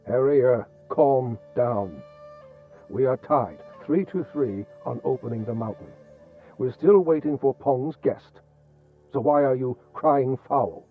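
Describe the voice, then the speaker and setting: A steady, loyal sect elder played by a man keeping order in a game drama. He is stern and mid-pitched. The audio is muffled, with faint music. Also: slow pace, lightly acted. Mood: reproving. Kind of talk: dramatic video game acting.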